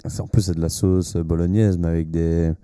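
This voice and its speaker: A man's voice: deep voice, flat delivery, moderate pace, mellow, clear, resonant tone. A man co-hosting a humorous radio show, cracking dry, teasing jokes with his co-host. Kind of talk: joking radio banter.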